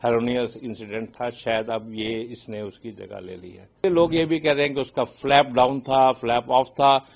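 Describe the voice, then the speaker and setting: Older indian guy, aviation expert type, explains stuff carefully and haltingly, medium pitch, drops in english bits. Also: fairly even intonation, gravelly. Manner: measured, halting, explanatory, mixes in English phrases. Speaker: aviation consultant and expert commentator. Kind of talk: spoken expert reaction in a news interview.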